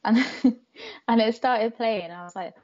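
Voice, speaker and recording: A woman's voice: on the high side, normal speed, darkish tone. A young woman whose first language is Croatian, chatting as a podcast guest, laughing often between hesitant, filler-filled phrases. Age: young adult. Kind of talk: casual interview chat. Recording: dull lo-fi podcast audio.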